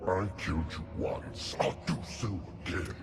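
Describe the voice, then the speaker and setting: Undead male warrior, video game, grim, menacing, slow, deep, creaky gravel, music behind. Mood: cold menace. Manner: slow, threatening. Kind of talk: video game character acting.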